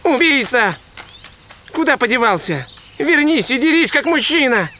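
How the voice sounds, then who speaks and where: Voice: high-pitched, very animated, unhurried, a little hiss or hum, exaggerated voice. An actor voicing a plucky, indignant young male dog swordsman in a dubbed cartoon, earnest and exclamatory. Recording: loud music under the voice.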